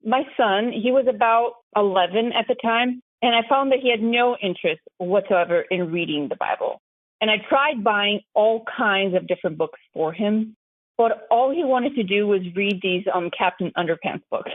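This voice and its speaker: A woman with a mid-pitched voice answers as an interviewed author, explaining in a conversational storytelling way. The sound is thin and phone-like, with little room echo. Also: normal speed.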